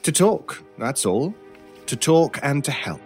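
A cool British stranger in a radio horror play, needling people with dry, theatrical relish. His mid-pitched voice rises and falls freely in a measured, knowing delivery. Faint music plays behind him. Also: big pitch swings, darkish tone, breathy edge, exaggerated voice. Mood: amused, coaxing. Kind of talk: old time radio style horror audio drama.

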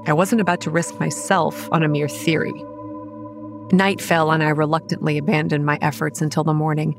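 Faint music sits behind a young female campground manager in a horror audio drama. Her voice is low and measured, telling eerie things in a pragmatic, wry, matter-of-fact way. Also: level tone. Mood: wry, weary narration. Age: young adult. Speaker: narrator and lead character. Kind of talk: first-person scripted horror narration and dialogue.

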